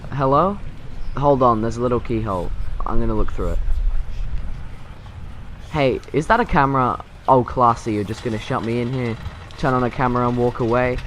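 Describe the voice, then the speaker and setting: A man's voice: medium pitch, a bit bright, a little hiss or hum, close to an everyday voice. A man playing a cocky, short-tempered teenage boy who talks in sarcastic slang, in an amateur radio drama.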